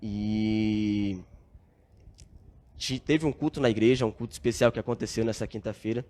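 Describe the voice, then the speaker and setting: A man's voice: lowish voice, fairly even intonation, normal speed, mellow. A young Brazilian man, a podcast guest, telling his story in an earnest, reflective way with frequent filler tags. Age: young adult. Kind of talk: casual storytelling interview.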